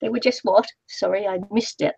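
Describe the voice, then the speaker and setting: A woman's voice: mid-range voice, steady tone, brisk, gravelly, darkish tone, husky, dry recording, lo-fi sound. A woman co-hosting a craft stream, chatting playfully and teasing her co-host. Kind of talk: casual chat while crafting.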